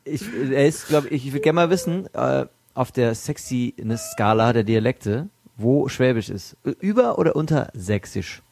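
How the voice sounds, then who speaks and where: A man's voice: medium pitch, moderate pace, vocal fry, hardly any pauses. A man from Swabia with a Swabian accent, joking in a casual chat. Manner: joking, animated.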